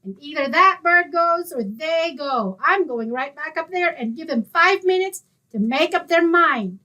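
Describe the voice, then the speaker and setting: A woman's voice: high-pitched, expressive, a little slow, bright, forceful tone, exaggerated voice, rough recording. A woman playing a strict, indignant landlady with a stern manner in an old-time radio comedy.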